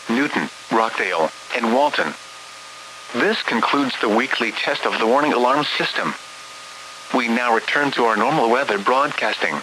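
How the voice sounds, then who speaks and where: A man reads a scripted public service announcement as a weather radio announcer, in a formal, measured way. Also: medium pitch. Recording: hissy, noisy weather radio broadcast. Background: radio hiss.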